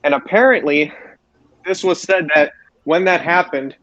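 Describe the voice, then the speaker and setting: A man's voice: on the high side, measured pace, a bit bright, no room sound, lo-fi sound. A man hosting a podcast, recapping wrestling shows for his co-hosts. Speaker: podcast host.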